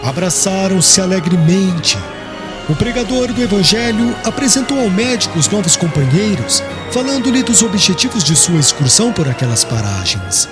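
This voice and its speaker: A man's voice: high voice, big pitch swings, normal speed, over music. A man narrating an audiobook in a solemn, literary style.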